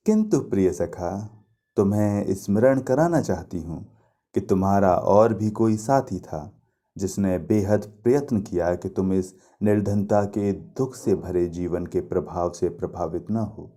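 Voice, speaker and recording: A man's voice: low-pitched, a little slow, mellow. A man voicing a lovelorn, reproachful young woman in a mythological story, speaking in a poetic, pleading, emphatic way. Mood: tender, pleading.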